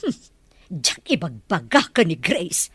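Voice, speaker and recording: A woman's voice: fairly low pitch, very animated, a little slow, fairly bright tone, slightly rough, dry recording, clean studio sound, full character voice. A woman playing a stern, resentful older aunt in an Ilocano audio drama. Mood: bitter.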